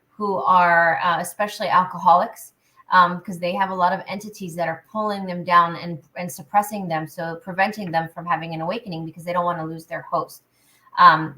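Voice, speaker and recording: A woman guest on a radio show talking about spiritual awakening, energetic with lots of ums and restarts. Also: lowish voice, low-quality recording. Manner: energetic, many fillers and restarts. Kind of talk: interview answers, spiritual talk.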